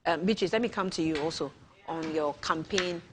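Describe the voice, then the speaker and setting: A woman's voice: low-pitched, a little fast, fairly bright tone, slight background noise. A woman hosting a morning TV show, reading a statement aloud with stumbles and self-corrections. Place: TV studio.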